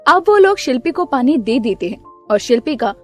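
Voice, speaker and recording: High-pitched woman storyteller with music. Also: sing-song, moderate pace. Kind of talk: scripted story narration.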